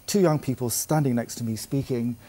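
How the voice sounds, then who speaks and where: A British man, an English language trainer, giving measured explanations with stammered restarts in a casual panel discussion. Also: medium pitch, dark tone. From Britain.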